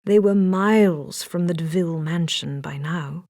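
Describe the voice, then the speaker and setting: A woman narrating a children's read-along storybook in an expressive, dramatic storytelling manner. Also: fairly low pitch, unhurried, no echo, clean studio sound.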